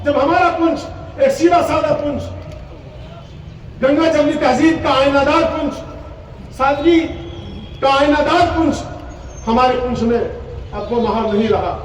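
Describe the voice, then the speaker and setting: Male cleric, public religious oration, emphatic repetition, exhortatory, very high pitch, distant echoey sound. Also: a little slow, pauses often. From Poonch, Jammu and Kashmir, India. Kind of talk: impassioned Urdu public oration at a religious gathering. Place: large gathering, speaking from a stage. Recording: echoey public address, distant, faint noise.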